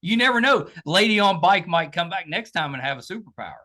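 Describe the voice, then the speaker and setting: A man hosts a casual interview. His voice is bright and moves up and down in a lively way. He talks informally and agreeably. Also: sits high, a little fast. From Southern United States. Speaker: podcast host.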